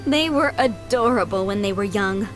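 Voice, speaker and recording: Lively, motherly head maid, acted by a woman. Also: medium pitch, unhurried, close to an everyday voice. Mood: fondly reminiscing. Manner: polite, doting, gently chatty. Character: kindly motherly head maid, fondly remembering boys she raised. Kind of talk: video game character acting. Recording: background music under the voice.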